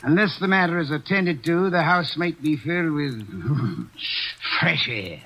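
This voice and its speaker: An actor playing a haughty, pompous detective in a 1940s radio drama, dry and sardonic. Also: male voice, a little high, animated, a little slow, bright, forceful tone, slightly rough, heavily acted. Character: haughty pompous male detective, fussy and imperious. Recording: dull lo-fi old radio recording.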